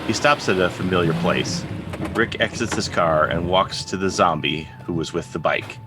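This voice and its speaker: A man reading scripted stage directions as narrator, in measured, declarative sentences. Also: low-pitched, over music.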